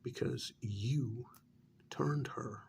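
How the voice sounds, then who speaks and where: A man playing a calm, reproachful vampire mediator in a soft, breathy, half-whispered ASMR role-play, speaking in measured, halting phrases. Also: mid-range voice, slight background noise. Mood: exasperated, chiding.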